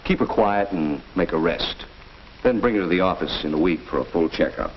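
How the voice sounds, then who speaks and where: An actor playing a patient family doctor who explains things didactically in an old educational film. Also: male voice, deep voice, animated, brisk. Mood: matter-of-fact. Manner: didactic, rhetorical questions. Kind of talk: mid-century educational film acting. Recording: dull, noisy old film soundtrack.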